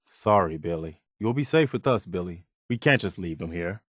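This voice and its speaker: A principled young soldier man, a loyal video game companion. His voice sits fairly low and sounds dry and close. He talks in a sincere, plainspoken, slightly weary way, like everyday conversation. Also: expressive, moderate pace, a bit bright, no room sound. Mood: gentle.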